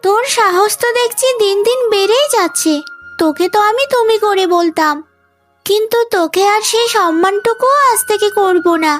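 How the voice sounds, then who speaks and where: A woman voicing a spiteful, sneering young unmarried sister-in-law in an animated moral story. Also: very high-pitched, normal speed, faint music behind. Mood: scolding. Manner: sneering, scolding.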